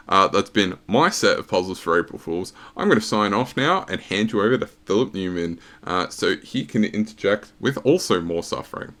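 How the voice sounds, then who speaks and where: Low-voiced man rattling through a solve. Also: sharp tone. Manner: quick, casual, many uhs. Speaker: sudoku puzzle setter and walkthrough host. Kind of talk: explaining a puzzle solve.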